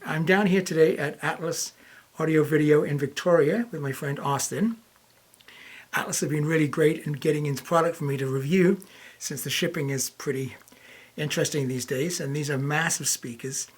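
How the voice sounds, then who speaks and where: A man's voice: fairly high pitch, moderate pace, breathy edge. A man hosting a casual product review, talking informally as he introduces and asks questions. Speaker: reviewer and host.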